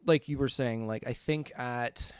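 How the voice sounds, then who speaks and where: Clear-voiced man hosting a casual podcast. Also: mid-range voice, measured pace, no room sound, clean studio sound. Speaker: podcast host. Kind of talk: casual chat.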